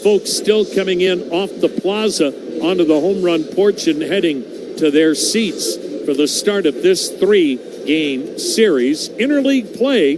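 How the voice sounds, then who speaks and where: A man with an American accent calling baseball play-by-play on radio, in folksy, measured phrases. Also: high voice. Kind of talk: live radio sports commentary. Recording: noisy ballpark ambience behind.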